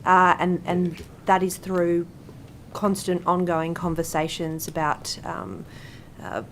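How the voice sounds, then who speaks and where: Australian woman, lowish voice, public servant, measured, formal phrasing. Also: a little hiss or hum, poor audio. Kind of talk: formal official answers at a hearing. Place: parliamentary committee room.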